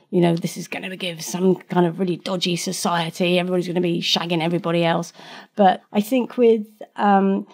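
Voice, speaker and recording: Middle-aged woman, gravelly, chatty expert guest on a podcast. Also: on the low side, darkish tone. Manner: chatty, frequent restarts, laughs easily. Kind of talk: casual interview answers.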